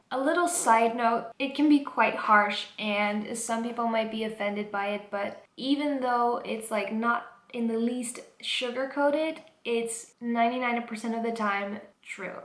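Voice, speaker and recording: A young woman talks in an earnest, open way for her personal vlog, her voice sitting a little high. She chats along with plenty of filler words. The sound rings off the walls of an echoey room. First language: Dutch. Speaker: vlogger.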